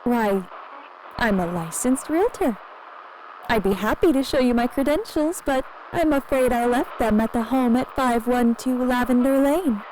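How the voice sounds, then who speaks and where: An actress playing an eerily cheerful, inhuman realtor in an audio drama, answering with polite, evasive sales patter. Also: female voice, fairly high pitch, a little slow, a little mellow, close to an everyday voice. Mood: pleasant, insistent. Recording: noisy interview recording with ambience.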